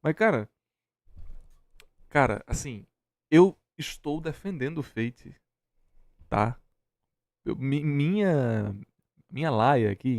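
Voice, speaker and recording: Brazilian male streamer, dark mellow voice, dry close sound, casual reactions with emphatic repetition. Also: medium pitch, dry recording.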